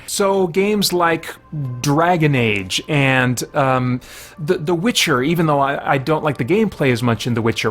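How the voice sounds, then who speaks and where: A man's voice: medium pitch, fairly bright tone. A man hosting a game review series, giving casual commentary in a conversational way, as if thinking aloud.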